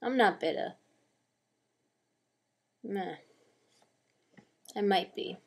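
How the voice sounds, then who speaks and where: A woman acts out a bitter old grandfather in a dramatic monologue. The speech is slow, plainspoken and gruff, with creak in the voice. The temper is stubborn and wounded, grumbling with resignation. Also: mid-range voice, a little mellow, exaggerated voice. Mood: bitter, resigned.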